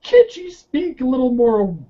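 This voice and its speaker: A man's voice: extremely high pitch, animated, unhurried, dull audio. A man in a tabletop roleplaying game, casually describing the scene and thinking aloud in a hesitant way.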